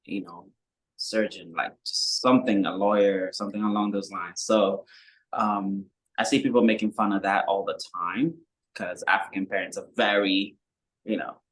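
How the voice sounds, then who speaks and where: A young man from Kenya, a musician guest, talking in a relaxed, rambling way with many fillers. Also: on the low side, normal speed, poor audio. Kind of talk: casual interview chat.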